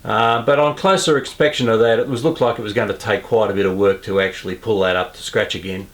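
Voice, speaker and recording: A man casually explaining things as host of a hobby radio video, in an unhurried, understated, conversational way. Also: on the low side, fairly even intonation.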